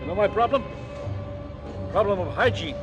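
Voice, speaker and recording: A man's voice: high voice, expressive, normal speed, over music. An actor playing a cocky, fretful gangster boss and hitman with clipped, colloquial tough-guy talk in a darkly comic radio drama. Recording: 1970s stereo radio drama.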